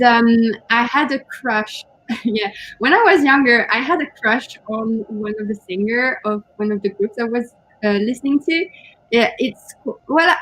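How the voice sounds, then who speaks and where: A woman whose first language is not English, chatting casually as co-host of a writing stream, often pausing and hunting for words. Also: on the high side, a bit bright, no echo, rough recording. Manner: hesitant, searching for words, giggly. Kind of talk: casual chat on a writing livestream.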